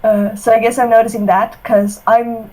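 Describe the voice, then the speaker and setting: A woman from Sweden living abroad, talking on a video call in a relaxed, easygoing way. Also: fairly high pitch, moderate pace, low-quality recording. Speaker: interviewee.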